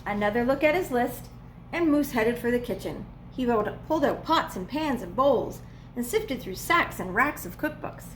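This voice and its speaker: A woman reading a children's storybook aloud as a preschool teacher would, with expressive, playful emphasis. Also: a little high, normal speed, a little hiss or hum. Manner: dramatic, playful emphasis on repeated words.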